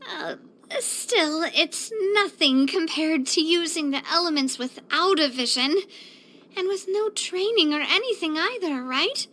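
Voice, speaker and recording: A woman's voice: very high voice, measured pace, exaggerated voice. An actress playing a spirited, proud and affectionate young woman boss in a video game, with a theatrical, bubbly delivery. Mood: bubbly, admiring. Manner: theatrical, expressive, bubbly, with playful grandiosity. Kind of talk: scripted video game character voice lines.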